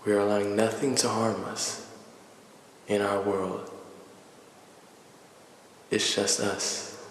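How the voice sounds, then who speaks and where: Slow, echoing man guiding meditation. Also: lowish voice. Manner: calm, deliberate, measured phrases with long pauses. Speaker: meditation guide. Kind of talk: guided meditation, slow and soothing. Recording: echoey reverberant voice with faint noise.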